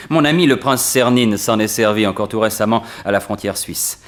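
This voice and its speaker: An actor playing a suave, mocking gentleman thief who turns bullying, in a theatrical 1960s French radio serial style. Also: on the low side, normal speed, close to an everyday voice. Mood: amused, mocking.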